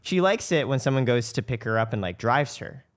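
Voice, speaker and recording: A man in casual podcast conversation with an animated, almost cartoonish way of talking. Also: mid-range voice, a little fast. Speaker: podcast host.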